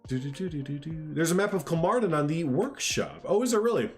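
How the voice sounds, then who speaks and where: A man's voice: a little high, lively intonation, fairly quick, faint music behind. A man hosting a casual livestream, stuffed up from a sinus cold, chatting in a friendly, rambling way and reading out chat. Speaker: livestream host.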